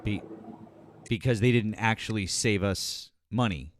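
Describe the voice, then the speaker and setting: A man's voice: on the low side, unhurried, slight background noise. A man in his forties hosting a live political stream, pressing a caller with pointed, repeated questions. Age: 40s. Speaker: host.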